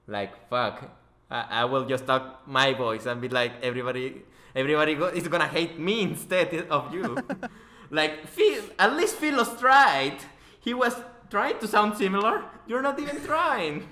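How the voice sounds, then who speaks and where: A man's voice: a little high, animated, moderate pace, pushed and bright. A young man hosting a podcast as casual chat, joking and swearing informally. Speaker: podcast host, interviewer. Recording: echoey room.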